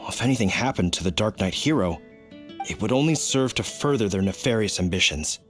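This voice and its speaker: A man's voice: lowish voice, expressive, a little fast, soft background music, natural voice. An actor playing an earnest, dutiful knight in a video game, formal and explanatory in his manner. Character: earnest, dutiful male city knight, stiff and official. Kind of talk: video game character acting.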